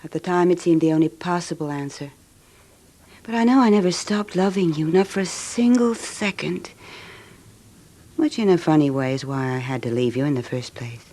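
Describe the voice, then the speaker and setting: An actress playing a world-weary, scheming unfaithful wife with a languid, intimate manner in a 1970s radio drama. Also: female voice, deep voice, moderate pace, dark tone.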